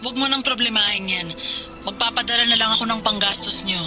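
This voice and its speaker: A woman's voice: on the high side, fast, over music, natural voice. A woman acting a worried working wife who anxiously scolds her husband over a phone call in a radio drama. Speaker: wife calling from work.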